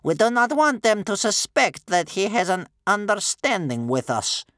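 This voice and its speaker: Man voicing a bitter, high-pitched old grandmother. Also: sing-song, fairly bright tone, no echo, studio quality, exaggerated voice. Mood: stern, commanding. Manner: spitting, slow, drawn-out delivery. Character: bitter, sceptical old grandmother, stern and sarcastic.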